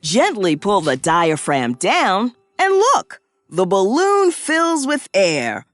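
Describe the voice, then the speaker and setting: Excitable female cartoon professor, very wide swooping pitch, slow delivery for little kids. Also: no echo. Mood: upbeat, explaining. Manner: exaggerated, sing-song, slow and clearly explanatory. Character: cheerful, excitable woman science professor for young children. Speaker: presenter of a children's science cartoon. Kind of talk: scripted educational cartoon narration.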